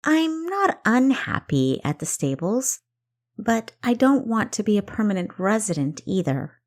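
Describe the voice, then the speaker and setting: A woman's voice: medium pitch, sing-song, measured pace, darkish tone, voice pushed up. A woman voicing a young female adventurer in an audiobook, an eager, stubborn younger sister with a pointed, questioning way of talking. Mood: indignant.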